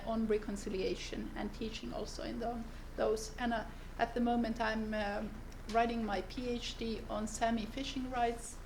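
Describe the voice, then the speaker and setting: Woman, from northern Finland, seminar talk, gravelly, slightly high, pauses to find words. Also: a little mellow, a little hiss or hum, low-quality recording. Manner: unhurried, pauses to search for words. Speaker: seminar speaker, lawyer. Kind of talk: seminar presentation. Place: seminar room.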